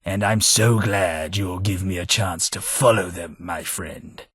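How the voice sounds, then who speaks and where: An actor playing a formal, polite, long-lived London gentleman in a game, speaking with measured diction. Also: sits low, slightly rough, no echo, clean studio sound. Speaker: game companion. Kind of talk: game voice acting.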